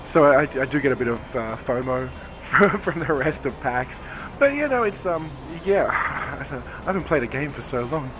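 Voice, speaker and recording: A man, a game developer, hoarse from talking all day, answering interview questions in a rambling, thoughtful way. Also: medium pitch, animated, normal speed, noisy background. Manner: rambling, self-correcting, thoughtful. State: hoarse, losing his voice. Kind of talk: convention floor interview. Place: busy convention floor.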